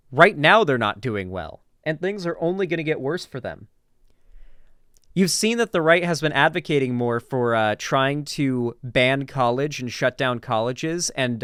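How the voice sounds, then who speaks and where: Emphatic American man, crisp dry voice. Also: medium pitch, a bit bright, dry recording. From Florida, United States. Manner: emphatic, opinionated, informal, swearing. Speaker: political commentary streamer. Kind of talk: casual reaction commentary.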